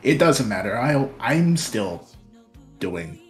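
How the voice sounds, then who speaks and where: A man's voice: medium pitch, sing-song, slow, soft background music. A young man from Nigeria, a VTuber guest, talking casually and a little self-deprecatingly about himself in an interview.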